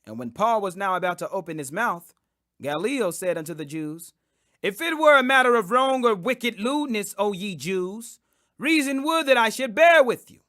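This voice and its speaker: A man's voice: sits high, lively intonation, moderate pace, fairly bright tone, no room sound, studio quality. A man reading scripture as scripted audiobook narration, in an emphatic, dramatic manner. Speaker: scripture reader. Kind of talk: scripted audiobook narration of King James Bible.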